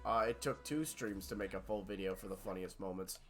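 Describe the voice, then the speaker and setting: A man with a head cold, casually chatting and joking while streaming a game. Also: fairly quick. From Long Island, New York. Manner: joking, lively, trails off low at line ends. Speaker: streamer host. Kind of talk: casual chat while gaming. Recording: game music behind voice call.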